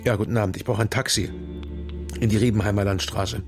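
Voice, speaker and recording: An actor playing a seasoned, weary middle-aged politician under pressure in a radio crime drama, terse, guarded and sardonic. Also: male voice, on the low side, steady tone, fast talker, over music, natural voice. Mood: businesslike.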